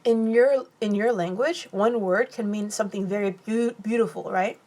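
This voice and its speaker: A woman's voice: medium pitch, darkish tone. A young woman from California, casually answering viewer questions on a phone live stream while eating. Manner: chatty, reads comments aloud and answers with quick laughs.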